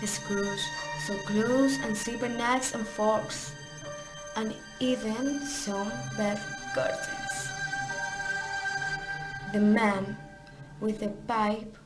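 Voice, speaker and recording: A woman's voice: a little high, slow, pauses often, over music, poor audio. A woman narrating a scripted story as the narrator of an audio drama.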